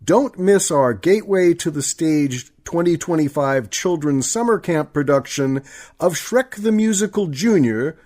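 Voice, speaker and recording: Slow-paced, higher-voiced male announcer. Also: dark tone. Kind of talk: scripted credits and announcements.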